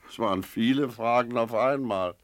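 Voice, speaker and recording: An actor playing a brooding, daydreaming village innkeeper in a radio drama, narrating in pensive, literary language. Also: male voice, medium pitch, measured pace, no echo, lightly acted.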